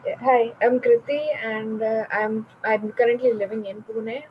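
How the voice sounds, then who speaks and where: A woman's voice: a little high, flat delivery, unhurried, phone-quality sound, slight background noise. A young woman from Delhi, a student chatting upbeat in an online class. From Delhi, India. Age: young adult.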